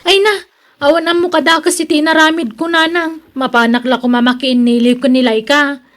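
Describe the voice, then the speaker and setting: High-voiced grown daughter for radio drama woman acting: easygoing, grateful, chatty, pleading tone. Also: steady tone, normal speed, fairly bright tone.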